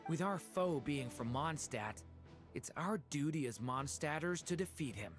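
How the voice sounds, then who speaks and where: An actor playing an earnest, dutiful knight in a fantasy video game. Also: male voice, fairly high pitch, expressive, a little slow, over music. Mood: determined. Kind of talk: scripted fantasy game dialogue.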